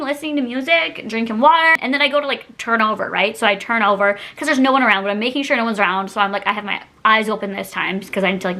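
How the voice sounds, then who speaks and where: Bright, high-voiced chatty young woman. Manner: chatty, dramatic, giggly, full of tangents. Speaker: YouTube storytime vlogger. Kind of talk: casual storytime chat to camera.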